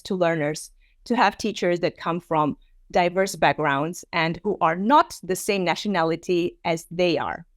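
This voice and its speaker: A woman's voice: on the low side, no echo, clean studio sound. A woman in her thirties, born in Armenia with Armenian as her first language, giving an online presentation in a conversational way, full of fillers and acted-out quotes. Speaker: teacher trainer.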